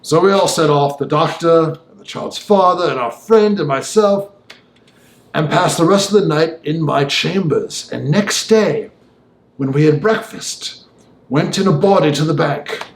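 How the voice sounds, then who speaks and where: A man reading as a gentleman who recounts a disturbing tale in vivid, old-fashioned, emphatic speech. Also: fairly high pitch, lively intonation, a little slow, gravelly, roomy sound. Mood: matter-of-fact, wry. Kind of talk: audiobook dialogue monologue.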